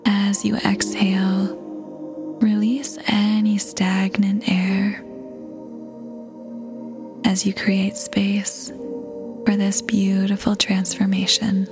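Very slow, whispery woman guiding meditation. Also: mid-range voice, monotone. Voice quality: soft, breathy, near-whisper. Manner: soothing, unhurried, gentle pauses between phrases. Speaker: guided meditation narrator. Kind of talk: scripted guided meditation, ASMR-like. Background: soft new-age music.

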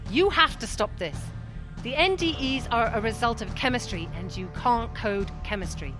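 An actress playing a cynical, defiant doctor and former army chaplain in a radio drama, speaking in a pointed, argumentative way. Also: female voice, a little high, animated, moderate pace, music in the background, natural voice. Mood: urgent, insistent.

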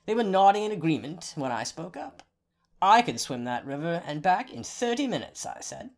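A man doing a cocky young son, lively rising voice, proud storyteller. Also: a little high, normal speed, voice pushed up. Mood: boastful. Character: young man, clever and boastful student son.

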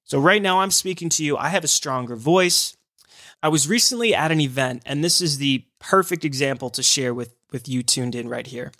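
A man's voice: a little high, normal speed, bright, forceful tone, no echo, studio quality. A man who works in hearing care, explaining signs of hearing loss in an earnest, encouraging podcast talk.

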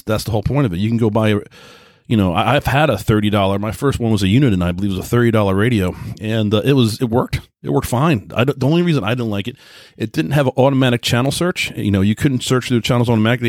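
A middle-aged man with an American accent talking casually and informally in a rambling monologue, often halting and rephrasing himself. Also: mid-range voice, a little mellow, no echo, studio quality. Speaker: podcast host, radio hobbyist.

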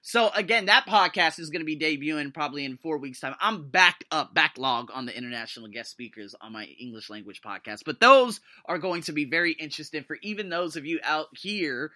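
Excitable man with a sharp, bright voice. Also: fairly high pitch, fairly quick, few pauses. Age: 30s. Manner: excitable and emphatic, voice leaps up when thrilled. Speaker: podcast host. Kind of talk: motivational solo podcast monologue.

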